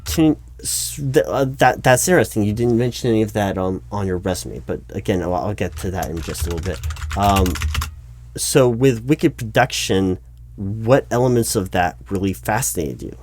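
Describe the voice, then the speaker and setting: A man playing an earnest, slightly flustered recruiting manager in an interview roleplay, speaking in wordy, halting corporate jargon. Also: on the low side, a little mellow, slight background noise, natural voice. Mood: probing, hesitant. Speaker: roleplay performer. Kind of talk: one-sided interview roleplay, soft-edged office talk.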